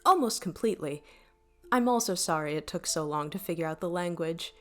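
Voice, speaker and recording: A woman's voice: fairly low pitch, expressive, a little fast, a bit bright, soft background music. A woman playing an apologetic, inquisitive starship mind in an audio drama, speaking conversationally with small hesitations. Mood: sheepish, explaining. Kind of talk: audio drama dialogue and log entries.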